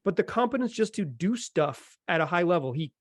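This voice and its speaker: A man's voice: high-pitched, lively intonation, brisk, dry recording. An American man hosting a sports podcast in an informal, chatty manner, talking casually. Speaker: podcast host.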